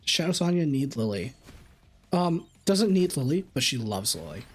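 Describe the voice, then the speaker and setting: A man live-streaming a game, reading chat questions aloud and answering them in an animated way. His voice is slightly raspy. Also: medium pitch, moderate pace.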